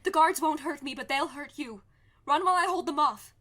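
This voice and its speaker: A woman's voice: high voice, brisk, sharp tone. A woman playing a defiant, impatient young princess in a scripted audio comedy, blunt and exasperated. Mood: urgent.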